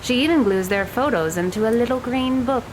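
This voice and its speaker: A woman's voice: on the high side, fairly quick, slight background noise, close to an everyday voice, low-quality recording. A woman playing a cold, sarcastic thirteen-year-old girl in an audio drama, with a dry, curt delivery. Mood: dry, detached.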